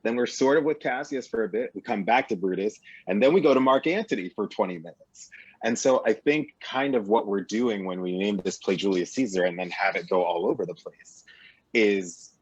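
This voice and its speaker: A man's voice: lowish voice, normal speed, husky, no echo, highs cut off. A man speaking as a guest on a panel, analysing a play and building his points in emphatic parallel phrases.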